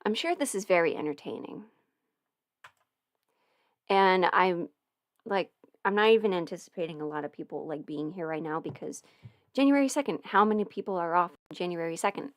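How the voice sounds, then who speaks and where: A woman's voice: on the low side, normal speed, pauses often, dry recording, clean studio sound. A woman chatting casually on a livestream from home, rambling and muttering to herself as she fiddles with settings. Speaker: audio performer streaming live. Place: at home, alone in her house. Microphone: studio mic through an audio interface.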